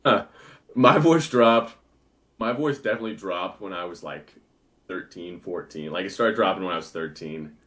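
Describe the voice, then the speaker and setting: A young man and bass singer, a guest chatting casually in an interview, easygoing and full of filler words. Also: moderate pace, a bit bright. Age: young adult.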